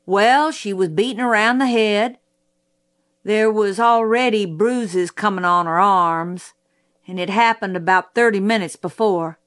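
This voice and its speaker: A woman's voice: mid-range voice, measured pace, a bit bright, natural voice. A woman voicing a folksy, stubborn male country sheriff in an audiobook, with clipped, colloquial phrasing. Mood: plainspoken, matter-of-fact. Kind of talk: audiobook character voice.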